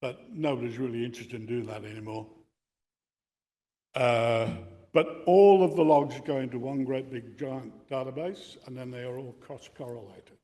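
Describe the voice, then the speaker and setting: A man's voice: mid-range voice, mellow. Older man lecturing in a reverberant hall. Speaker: presenter giving a talk on radio contesting. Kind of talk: informal lecture with explanations.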